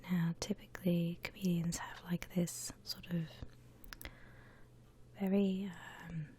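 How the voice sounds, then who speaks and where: A woman whispering gently and breathily as she guides listeners through a drawing, in the style of an ASMR tutorial. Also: lowish voice, flat delivery, a little hiss or hum. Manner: gentle, unhurried step-by-step explaining. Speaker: host of an art ASMR channel.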